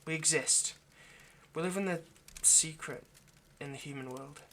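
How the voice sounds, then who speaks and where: Man playing an anxious lovesick vampire, bright-voiced. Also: a little high, a little hiss or hum. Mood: weary, explanatory. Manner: halting, stammering, pleading. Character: anxious, lovesick male vampire kidnapper, centuries old but youthful. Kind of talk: intimate ASMR audio roleplay.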